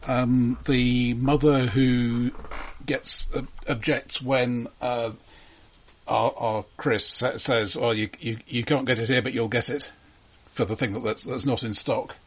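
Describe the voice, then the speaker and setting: A man discussing films casually and knowledgeably, in a dry, deliberate way, as a podcast co-host. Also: mid-range voice, level tone. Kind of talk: casual, informed film discussion.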